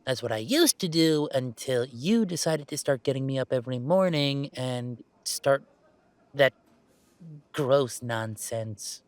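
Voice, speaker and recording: Casting: male actor as werewolf gamer boyfriend type for roleplay audio, mid-pitched, conversational, weary, sighing, teasingly defensive, dry studio sound. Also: dry recording. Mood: playful grumbling. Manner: sighing, bantering, mock-defensive complaining. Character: grumbling, playful werewolf gamer streamer, weary but fond. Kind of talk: one-sided roleplay audio drama, conversational.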